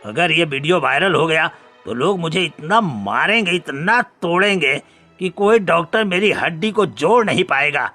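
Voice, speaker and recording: A man's voice: a little high, expressive, moderate pace, sharp tone, soft background music, heavily acted. A man voicing a hot-tempered, cowardly food seller in a cartoon story, blustering in an exaggerated way. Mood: shocked, muttering. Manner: blustering, stammering when frightened, exaggerated. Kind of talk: Hindi cartoon story dubbing.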